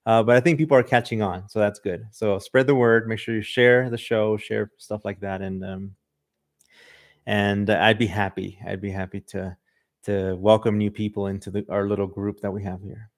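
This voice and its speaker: A man's voice: on the low side, flat delivery, moderate pace. Chatty man with a Mexican-tinged accent. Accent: Mexican Spanish influence. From Southern California. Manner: loose and chatty, many "like" and "um" fillers. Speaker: podcast host. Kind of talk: casual livestream chat reading viewer comments. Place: livestream in front of a camera.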